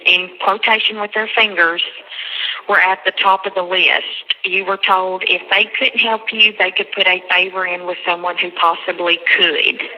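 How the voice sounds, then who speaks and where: A woman on a recorded jail phone call, recounting a conversation in a halting, repetitive way and quoting others at length. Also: lowish voice, fairly even intonation, sharp tone. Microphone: telephone handset.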